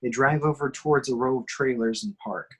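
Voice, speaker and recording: A man's voice: medium pitch, fairly quick, fairly bright tone, slightly rough, rough recording. Man narrating screenplay action. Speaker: reader of screenplay action descriptions. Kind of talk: scripted narration at an amateur table read.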